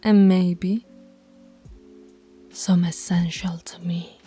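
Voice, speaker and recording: Woman acting a slow, husky alpha she-werewolf. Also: lowish voice, little pitch movement, mellow, soft background music, heavily acted. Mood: earnest, tender. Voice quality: breathy, husky. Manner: slow, deliberate, commanding. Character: dominant female alpha werewolf, stern yet teasingly affectionate. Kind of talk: intimate ASMR roleplay voice acting.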